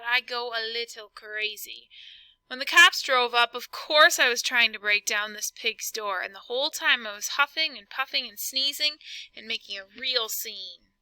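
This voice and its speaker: A woman's voice: a little high, bright, forceful tone, clear, resonant tone, no echo, poor audio. A woman reading a storybook as a male wolf who tells his side in a conversational, mock-sincere way. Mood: indignant, self-justifying. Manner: conversational, mock-sincere, addresses listener directly. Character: self-justifying male storybook wolf, folksy, playing innocent. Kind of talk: expressive read-aloud storytelling.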